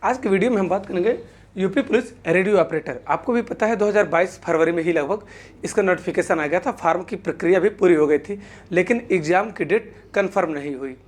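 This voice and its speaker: A woman's voice: fairly low pitch, fairly quick, a little mellow. A woman presents an informal explainer to viewers, spelling out her points and repeating them with set phrases. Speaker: exam-news video presenter.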